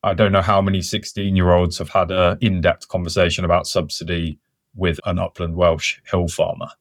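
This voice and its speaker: A man's voice: sits low, little pitch movement, moderate pace, creaky, no room sound, studio quality. A man speaking as a podcast guest, reflective and thinking aloud in a casual interview. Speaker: podcast guest, conservation worker.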